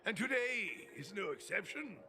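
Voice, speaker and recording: A man's voice: high-pitched, sing-song, normal speed. A man voicing an elderly, dutiful guild master who speaks formally and with resignation. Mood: resolute. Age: older. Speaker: game character. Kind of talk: video game voice acting. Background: game music.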